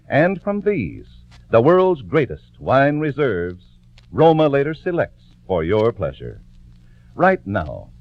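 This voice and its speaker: Vintage radio sponsor announcer, male, polished sales delivery with big pitch swings, dull old recording. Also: no room sound. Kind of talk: 1940s radio commercial announcing, scripted.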